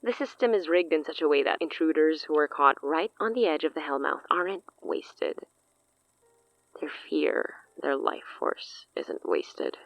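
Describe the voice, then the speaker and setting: A woman's voice: low-pitched, big pitch swings, a little slow, vocal fry, no echo, lo-fi sound, full character voice. A woman playing a smug, menacing sorceress in an audio drama, theatrical and taunting with amused condescension. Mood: gloating menace.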